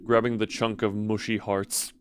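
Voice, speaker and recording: Male streamer with clear background noise. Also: on the low side, level tone, normal speed. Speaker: streamer talking with live chat. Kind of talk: casual livestream commentary with read-aloud story passages.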